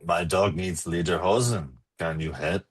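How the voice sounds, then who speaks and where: A man's voice: low-pitched, a little slow, slightly rough. An actor playing a cheerfully oblivious, doting father and mayor in a comedy script read. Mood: eager. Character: doting mayor daddy, cheerfully oblivious middle-aged man.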